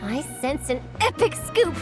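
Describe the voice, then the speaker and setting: A woman voicing an excitable, self-assured young woman reporter in a video game, with an eager, theatrical manner. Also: sits high, unhurried, music in the background. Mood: keen, scheming. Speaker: journalist character. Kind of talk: animated video game dialogue.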